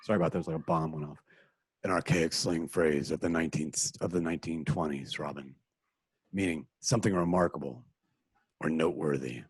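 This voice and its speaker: A righteous, stiffly formal crime-fighter, played by a man, with a low, husky voice. Every word comes across close and dry, with no room around it. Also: steady tone, a little fast, dry recording. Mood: lecturing.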